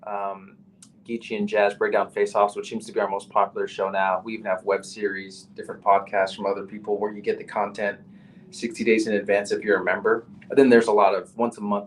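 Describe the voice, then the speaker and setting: A man's voice: on the low side, level tone, quick pace, a little hiss or hum, rough recording. A man with a nasal voice, a battle rapper talking casually as a podcast guest, full of "you know" and "like".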